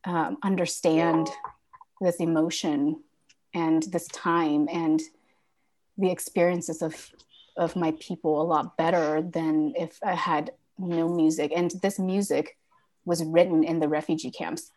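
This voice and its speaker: A woman's voice: low-pitched, level tone, unhurried, darkish tone. A woman guest speaking reflectively in casual conversation, with many fillers and restarts. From born in Thailand.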